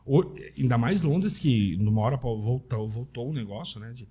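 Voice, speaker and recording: A Brazilian man with a soft-edged, mellow tone and a husky grain. He chats informally as an interview guest, explaining things through anecdotes and often seeking agreement as he goes. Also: mid-range voice, moderate pace. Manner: informal, anecdotal, peppered with tag questions. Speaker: podcast guest, Italian citizenship specialist. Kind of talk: casual conversational interview.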